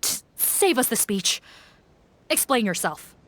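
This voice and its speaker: A woman's voice: fairly high pitch, very animated, slow, fairly bright tone, slight background noise, heavily acted. A woman voicing a dutiful, blunt female captain of guards in a video game, formal and serious. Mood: stern, blunt. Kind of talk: video game character dialogue.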